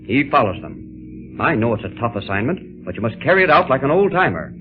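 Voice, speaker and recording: Man acting a lively, commanding radio-serial hero. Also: medium pitch, brisk, faint music behind, natural voice. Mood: commanding, assured. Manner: commanding, cool, wry. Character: brave, confident newsreel man, mentor to boy. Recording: noisy narrow-band 1930s radio recording.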